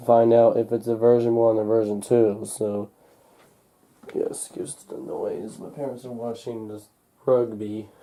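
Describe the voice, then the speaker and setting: Young man with a very dark, muffled tone. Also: mid-range voice. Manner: halting, unscripted, thinking aloud. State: recovering from a cold. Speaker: hobbyist vlogger. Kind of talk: casual unboxing and setup narration. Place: indoors at home.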